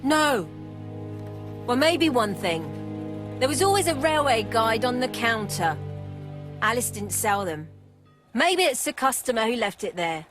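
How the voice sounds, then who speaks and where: Brash high-voiced fruit seller. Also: female voice, animated, a little slow, long pauses, music in the background. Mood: explaining. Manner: blunt, colloquial. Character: brash, defensive working-class woman fruit seller. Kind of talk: video game voice acting.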